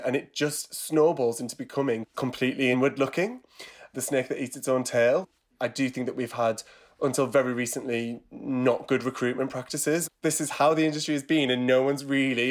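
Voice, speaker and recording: A man, a trade publisher speaking candidly and reflectively in an interview. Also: mid-range voice. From Teesside, England.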